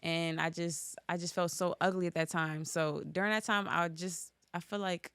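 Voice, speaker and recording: A woman's voice: fairly low pitch, fairly even intonation, normal speed, a bit bright, clear, resonant tone, dry recording. A woman hosting a casual podcast chat, talking in a loose, rambling way with lots of "like". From Norwalk, Connecticut, USA. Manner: chatty and rambling, heavy use of "like". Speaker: podcast host.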